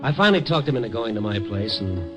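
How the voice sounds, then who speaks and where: A man's voice: on the low side, very animated, quick pace, muffled recording, music in the background. An actor playing a kindly, world-weary newspaperman, wry and slangy, in 1950s radio drama with noir-style narration. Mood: brooding narration.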